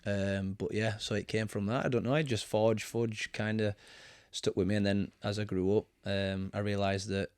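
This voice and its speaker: Low-voiced Yorkshire man, dry sound. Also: normal speed, few pauses, clear, resonant tone, no echo. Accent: Barnsley, Yorkshire. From England. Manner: relaxed, rambling, many ums. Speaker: guest, football manager. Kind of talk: casual interview. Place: cluttered home studio, indoors. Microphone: studio mic with headphones.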